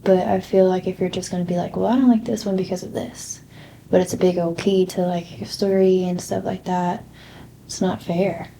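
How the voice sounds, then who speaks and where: Flat, gravelly young woman. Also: fairly low pitch, normal speed, a little hiss or hum. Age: 20s. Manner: chatty, many "like" fillers. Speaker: interviewee. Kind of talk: casual opinion chat.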